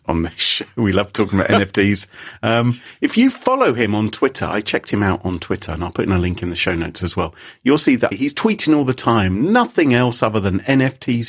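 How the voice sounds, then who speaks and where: A man's voice: fairly low pitch, slightly rough. A British man co-hosting a podcast, telling a story in a theatrical, teasing way. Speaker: podcast co-host.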